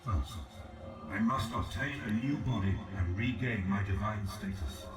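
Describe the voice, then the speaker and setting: A male actor playing an ancient fallen god in a video game, grave, ambitious and patient, speaking in solemn, formal, measured oratory. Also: fairly low pitch, lightly acted. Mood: grandly resolute. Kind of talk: scripted video game character dialogue. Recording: roomy, distant voice over game music.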